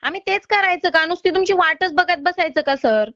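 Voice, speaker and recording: Very high-pitched, insistent woman. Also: moderate pace, a bit bright, dry recording. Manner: insistent, polite but exasperated. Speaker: loan recovery caller. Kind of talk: phone collection call. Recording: slightly dull phone-call audio.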